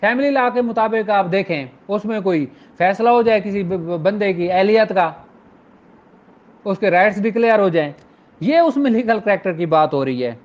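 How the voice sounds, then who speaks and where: Pakistani guy teaching law informally, pretty high voice, muffled lo-fi sound. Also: animated. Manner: explanatory, switches between Urdu and slowly read English. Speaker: law lecturer. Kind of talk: informal lecture, reading out and explaining legal articles. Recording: dull lo-fi recording.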